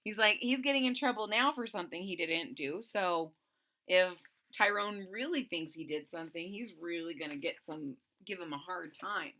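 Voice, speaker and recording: A woman's voice: on the low side, normal speed, bright, forceful tone. A woman reading a children's storybook aloud expressively, with casual, informal asides that explain the story. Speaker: storybook reader.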